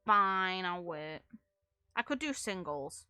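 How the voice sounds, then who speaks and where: A woman game streamer giving casual, chatty gameplay commentary, thinking aloud in an excitable way. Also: lowish voice, takes it slowly, smooth, dry recording, faint music behind.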